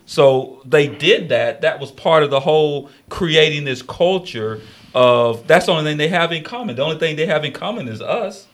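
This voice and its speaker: A man's voice: fairly high pitch, expressive, moderate pace. A man on a talk radio panel speaking casually, rambling and repeating himself, often checking that listeners follow. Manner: rambling and repetitive, keeps asking "you see what I'm saying".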